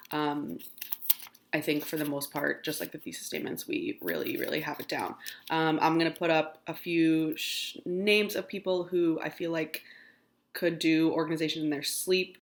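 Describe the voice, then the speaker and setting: A woman's voice: low-pitched, normal speed. A young woman teacher with a crackly morning voice, talking casually to her class with lots of ums. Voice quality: gravelly. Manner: casual with many ums, encouraging. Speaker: high school teacher. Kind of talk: recorded lesson to students.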